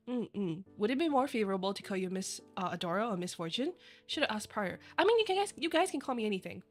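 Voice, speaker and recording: A woman whose first language is Chinese, chatting casually with her viewers on a live stream, bubbly and quick to giggle. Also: medium pitch, fairly bright tone. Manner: bubbly and giggly, laughs often; chatty, rambling asides.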